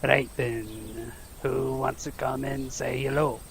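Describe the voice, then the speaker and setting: Big gruff gamekeeper, super croaky, takes his time, folksy audio drama teacher. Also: medium pitch, fairly even intonation, takes it slowly, a little hiss or hum. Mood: proud, instructing. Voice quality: gravelly. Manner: folksy, rustic, encouraging. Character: big hearty gruff gamekeeper teaching class, eager, protective.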